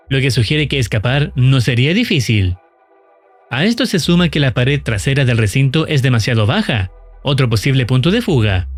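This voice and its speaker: Animated male narrator over music. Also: mid-range voice. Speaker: narrator of a horror video channel. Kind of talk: scripted narration, recap and commentary.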